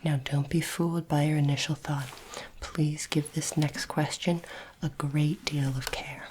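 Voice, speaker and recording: A woman playing a polite, curious alien interviewer in an ASMR roleplay, speaking formally and deliberately in a soft, breathy whisper. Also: low voice, fairly even intonation, slight background noise. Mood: solemn, instructive. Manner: slow, deliberate, formal phrasing.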